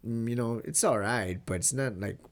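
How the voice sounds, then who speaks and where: A man's voice: mid-range voice, normal speed. A young man from Mexico talking casually in a vlog, with a stuffy, nasal voice from a cold, rambling and swearing easily. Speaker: vlogger.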